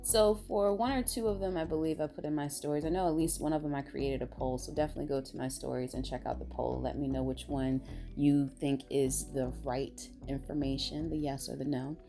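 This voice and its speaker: Raspy tired woman vlogging, deep-ish dark voice, blunt and rambly. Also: fairly even intonation, a little fast, soft background music. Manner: rambling, blunt, emphatic with asides. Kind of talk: casual opinion monologue.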